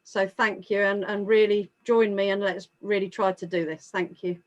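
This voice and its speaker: A woman's voice: mid-range voice, level tone, normal speed, dull audio. An English woman speaking informally and earnestly as a scout leader, enthusiastic and emphatic in making her appeal. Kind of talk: informal appeal at an online awards evening.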